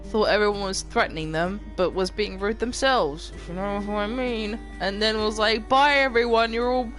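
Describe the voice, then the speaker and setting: A game streamer chats casually with viewers between bits of play, mumbling in a mostly monotone, mid-pitched voice. Game music runs underneath the whole time. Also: normal speed, low-quality recording. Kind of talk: casual chat while gaming.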